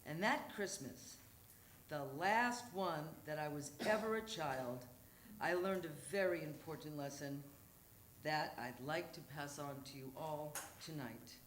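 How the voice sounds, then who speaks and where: A woman giving an expressive, emphatic live reading of a memoir story on a stage, with a roomy sound and faint noise. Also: deep voice, a little slow. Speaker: reader at a live storytelling show. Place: theatre stage at a live show.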